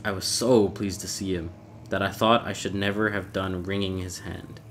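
A man giving a measured, formal, brooding dramatic reading of a classic horror story as its narrator. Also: sits low, little pitch movement, fairly bright tone. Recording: clear background noise, ambience.

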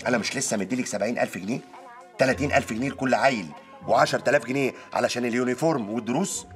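An actor playing a sarcastic, put-upon middle-aged Egyptian husband in a TV comedy-drama, with an impatient manner. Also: male voice, medium pitch, rapid-fire, faint music behind, natural voice. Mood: annoyed. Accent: Egyptian Arabic. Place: bedroom, film set.

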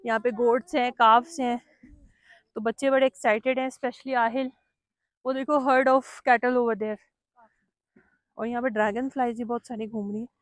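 A woman's voice: a little high, fairly even intonation, moderate pace, pauses often, no echo, low-quality recording. Chatty woman vlogging outdoors by a lake. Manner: chatty, mixes English phrases into her talk. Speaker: travel vlogger. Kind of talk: casual travel vlog narration.

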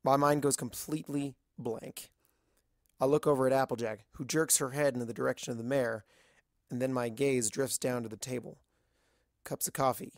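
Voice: medium pitch, fairly even intonation, moderate pace, no room sound, clean studio sound. A narrator reading a scripted first-person story aloud.

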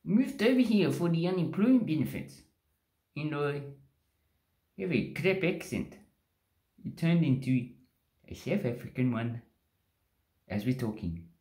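A man doing a squeaky goofy man with a drifting accent. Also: sing-song, a little slow, long pauses, dark tone. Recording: echoey small bathroom.

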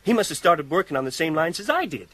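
An actor playing a brash, excitable small-time American crook in a radio drama, slangy and blustering. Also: male voice, a little high, quick pace. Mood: excited, indignant. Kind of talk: 1980s radio drama acting.

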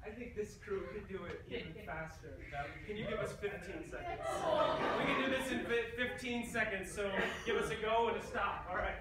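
A man's voice: high-pitched, moderate pace. A man hosting an improv comedy show, chatting casually and projecting to a live audience. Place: large theatre stage, indoors. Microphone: far camera. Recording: echoey hall from far off, noisy audience.

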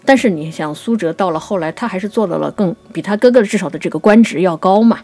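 A woman co-hosting a poetry programme, explaining classical literature conversationally and slowing down to recite quotations. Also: fairly low pitch, darkish tone, soft background music. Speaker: writer and co-host of a poetry programme.

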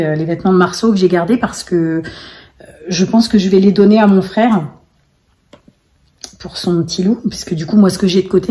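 A woman in her thirties chatting casually into her phone at home for a daily family vlog, rambling with many fillers, her voice a little hoarse. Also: on the low side, mellow. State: slightly hoarse. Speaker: vlogger, single mother of five. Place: home, living room and kitchen, indoors. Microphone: phone held at arm's length.

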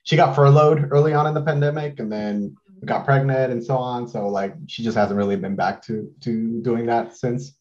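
A man's voice: medium pitch, highs cut off. A man in his thirties from Mexico, chatting casually as an interview guest over a video call, with lots of fillers and restarts. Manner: relaxed, halting, many fillers and restarts. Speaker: guest artist.

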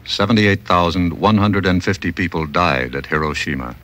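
A man's voice: sits low, expressive, moderate pace, background noise. A man giving solemn scripted documentary narration on an old archival recording.

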